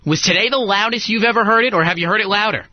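A man's voice: high-pitched, fast talker, dry recording, lo-fi sound. A man co-hosting a sports show and asking a guest questions.

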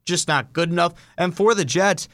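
Higher-voiced American sports podcast host, dry sound. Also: male voice, moderate pace, fairly bright tone, dry recording. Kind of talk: sports talk commentary.

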